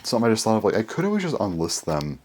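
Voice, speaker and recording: A young man with a hoarse, worn-out voice, chatting casually in a rambling way to his channel's viewers. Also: on the low side, wildly swooping pitch, rapid-fire, a little mellow, slight background noise. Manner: rambling, full of fillers and restarts. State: hoarse, voice mostly gone. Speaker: YouTuber. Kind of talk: casual vlog chat.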